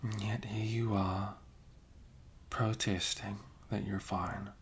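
A man's voice: level tone, takes it slowly. A young man playing a sleepy, caring, teasing best friend in an intimate ASMR roleplay, murmuring drowsily in casual slang. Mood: tender concern. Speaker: audio roleplay performer. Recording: low rumbling background noise. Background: faint rumble and blanket noises.

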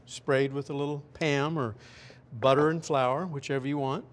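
A middle-aged man, a chef, talking through a recipe in a relaxed, chatty way in a restaurant demo kitchen. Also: medium pitch, moderate pace. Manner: relaxed, chatty step-by-step explaining. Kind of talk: cooking demonstration.

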